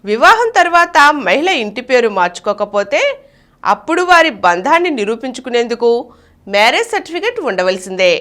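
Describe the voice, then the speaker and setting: A woman's voice: fairly high pitch, big pitch swings, brisk, a bit bright. A woman reading a scripted informational article aloud as a narrator.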